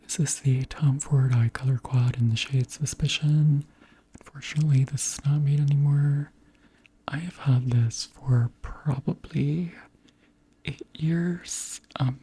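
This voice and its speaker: A beauty creator around thirty speaking softly in a whispery, breathy voice, gently chatting through products in an unhurried ASMR style. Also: mid-range voice, poor audio. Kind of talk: soft-spoken ASMR chat and product show-and-tell.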